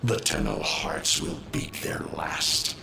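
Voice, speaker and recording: An actor playing an ancient, vengeful machine overlord in a video game, making grandiose and ominous declarations. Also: male voice, background noise, natural voice. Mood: vengeful, commanding. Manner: slow, ominous, theatrical declarations. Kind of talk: dramatic video game voice acting.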